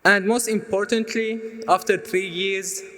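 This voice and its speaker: A young man in his twenties, originally from Syria with Arabic as his first language, gives a prepared speech in an earnest, measured way. His voice is bright and fairly high for a man. The sound carries the long reverb of a big hall. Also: fairly even intonation. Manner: earnest, measured delivery with small restarts. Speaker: student speaker.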